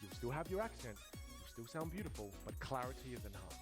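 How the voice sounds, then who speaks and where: A man who grew up speaking Vietnamese, coaching in an earnest, motivational way about speaking English clearly, in a played clip. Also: medium pitch, sing-song, fast talker, music in the background. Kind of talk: instructional social media clip.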